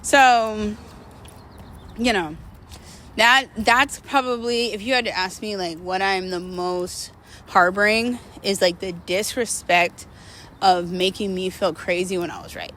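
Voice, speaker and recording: A woman's voice: medium pitch, steady tone, measured pace, fairly bright tone. Candid woman rambling over background noise. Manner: candid, rambling, emphatic, slangy with swearing. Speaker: host of a personal vlog series. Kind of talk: casual confessional storytelling to viewers.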